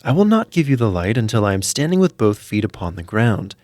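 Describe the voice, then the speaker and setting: Storybook soldier, acted by a man: male, war-weary, resolute, plain and firm in speech, lively intonation. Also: fairly low pitch, brisk, no echo, studio quality.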